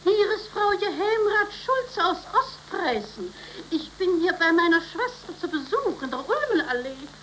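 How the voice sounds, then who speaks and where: Casting: very high-voiced, haughty East Prussian lady, plaintive and swooping, vintage radio play with faint noise. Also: big pitch swings, a little slow, fairly bright tone, exaggerated voice. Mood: haughty indignation. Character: indignant upper-class lady caller from East Prussia. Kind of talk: 1930s radio acting. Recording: noisy vintage 1930s radio recording.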